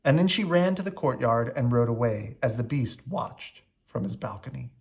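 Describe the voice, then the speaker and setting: A man narrates a children's story in a mid-pitched voice. His reading is animated and expressive, rising and falling freely as in a bedtime read-aloud. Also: normal speed. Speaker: storybook reader.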